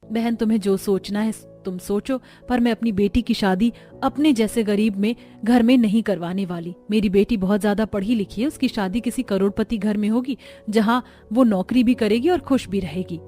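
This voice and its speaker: A woman voicing a proud, ambitious middle-aged mother in an animated story. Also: mid-range voice, normal speed, faint music behind, natural voice. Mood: proud, firm. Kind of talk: animated story character dialogue.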